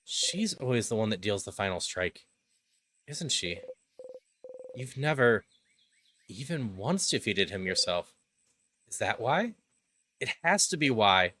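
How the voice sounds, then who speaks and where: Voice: medium pitch, very animated, a little slow, pauses often, pushed and bright, voice pushed down. An intense, despairing game hero acted out by a voice-over performer spirals through a bitter inner monologue. The delivery halts and repeats obsessively, with noise behind it. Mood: brooding. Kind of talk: dramatic game script voice-over.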